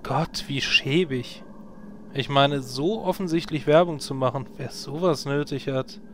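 Snooty guildmate played by a man, mid-pitched, dry irony, comedy audio drama. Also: measured pace, a bit bright, a little hiss or hum, natural voice. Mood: ironic. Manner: dry ironic.

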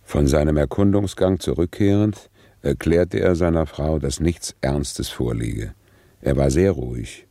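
A man's voice: rumbling low, a little slow, creaky, mellow, no room sound, clean studio sound, full character voice. A man narrating a radio play in a formal, sober manner.

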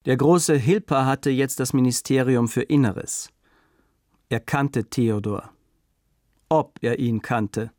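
Guy narrating a radio play real slow, normal pitch, scripted literary read. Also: a little mellow, no echo, studio quality.

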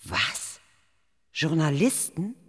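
An actress playing a stern, brusque clinic doctor in a radio drama, scolding and commanding. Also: female voice, low-pitched, sing-song, drawn-out words, a bit bright, long reverb tail, full character voice. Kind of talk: German radio drama acting.

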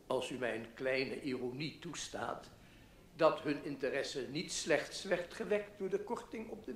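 An actor playing a pompous literary newspaper writer giving a florid, formal speech in a radio play. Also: male voice, a little high. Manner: pompous, florid, measured oratory. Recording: echoey hall, public address.

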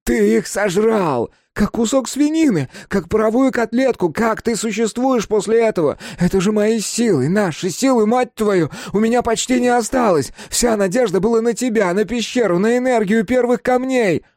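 A male narrator performs a furious, frantic man in a horror audiobook. The voice is pushed very high and cracks as he shouts shrill accusations, close and dry. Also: moderate pace, few pauses, no echo. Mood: furious, desperate. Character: Kapustin, frantic, enraged man with breaking voice.

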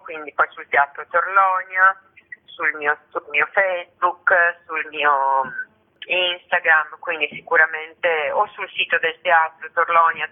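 A woman talks casually as an interview guest, listing information about events. Her voice is heard through a thin, narrow telephone connection. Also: sits low. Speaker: actress and author, interview guest. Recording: tinny narrow phone line.

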